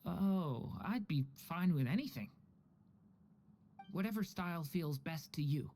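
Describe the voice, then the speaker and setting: A woman's voice: fairly low pitch, lively intonation, a little slow, warm tone, a little hiss or hum. A woman playing a mild, easygoing friend in a video game.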